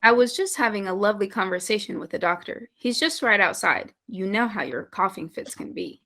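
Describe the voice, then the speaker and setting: A woman playing a polite, solicitous lady of the old West with a creaky, bright voice, in a table read of a western. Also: medium pitch, expressive, a little fast.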